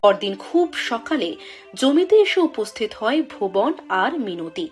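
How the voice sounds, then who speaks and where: A woman narrating a fairy tale with an expressive, storytelling delivery. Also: a little high, measured pace, faint music behind.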